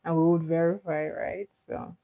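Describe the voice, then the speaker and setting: A woman with Igbo as her chats easily with her livestream viewers in a lowish voice, giggling and doubling back on her words. The sound is dull and lo-fi, like a home stream with a basic mic. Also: fairly even intonation, normal speed, creaky. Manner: chatty, giggly, repeats words. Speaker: livestream host. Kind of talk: casual chat with viewers.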